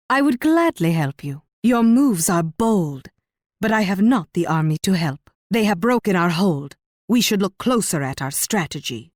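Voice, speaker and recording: A woman's voice: medium pitch, no echo, natural voice. The imperious Spanish monarch of a strategy game, issuing commands from her throne in sweeping, melodic condescension, as acted by a woman, recorded bone-dry. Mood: haughty, dismissive, then scornful, commanding. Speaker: video game character voice actor. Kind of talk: scripted strategy game voice lines.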